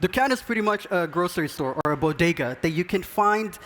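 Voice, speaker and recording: A man with a fairly high voice gives an earnest, encouraging talk from an event stage. He co-hosts a podcast and paces his points with care. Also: expressive, fairly quick, a bit bright. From Sudan. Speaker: podcast co-host speaking on stage.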